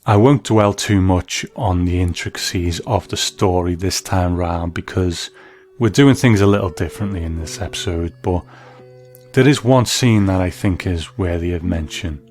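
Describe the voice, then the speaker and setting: A male host talks through a reflective review in a low-pitched voice. Soft music plays faintly behind his commentary. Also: normal speed.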